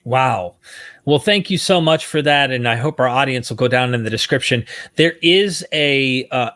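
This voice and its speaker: A man's voice: medium pitch, moderate pace, fairly bright tone, dry recording, studio quality. A man hosting an interview podcast, asking questions and pointing listeners to linked material in a friendly tone. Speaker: podcast host.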